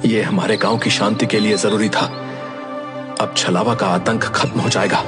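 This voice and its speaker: A man's voice: lowish voice, steady tone, normal speed, music in the background, lightly acted. A man voicing a brave, determined young villager seeking revenge in a dramatic cartoon. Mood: resolute.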